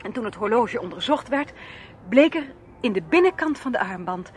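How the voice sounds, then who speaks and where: An actress plays an anxious young woman in a radio play. Her voice sits fairly high and she speaks hesitantly but earnestly. A faint layer of noise lies over the recording. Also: animated, normal speed, darkish tone, close to an everyday voice. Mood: shaken, earnest. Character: Linda West, anxious young woman seeking her brother. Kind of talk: Dutch radio play acting.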